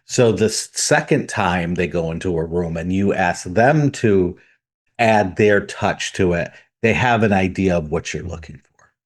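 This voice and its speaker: Low-voiced man explaining step by step. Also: lively intonation, unhurried. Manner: methodical, lays out numbered points. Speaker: podcast host. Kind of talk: explanatory podcast chat.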